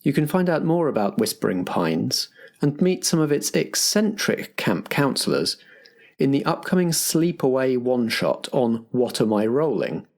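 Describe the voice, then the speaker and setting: A man with a slightly husky voice, hosting and narrating a roleplay show. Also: medium pitch. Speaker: host and game master of an improvised roleplay podcast. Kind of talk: scripted announcements and game narration.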